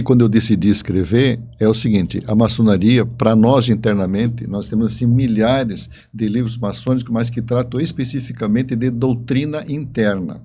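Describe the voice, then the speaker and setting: A man with a very soft, mellow tone talks in a conversational interview. He explains things emphatically, repeating phrases. His pitch is mid-range, and there is faint noise behind him. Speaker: guest author.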